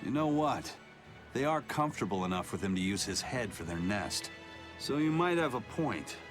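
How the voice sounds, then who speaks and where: A man playing a stern, proud pirate in an anime-style video game, gruff, measured and serious. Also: on the low side, sing-song, over music. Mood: wry storytelling. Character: stern, steady, proud male pirate first mate.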